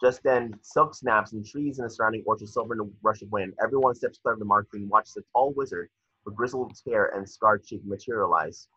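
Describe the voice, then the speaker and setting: A man with a husky voice reading screen directions as narrator at a table read over a video call. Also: fairly low pitch, a little fast, bright, forceful tone, dry recording. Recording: muffled lo-fi video call audio.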